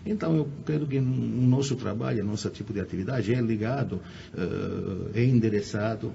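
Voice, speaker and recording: A man's voice: on the low side, moderate pace, creaky, warm tone. A man from Italy, speaking with an Italian accent, answers in a radio interview, often repeating words while he searches for the right Portuguese. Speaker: guest lecturer. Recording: muffled radio recording with faint noise.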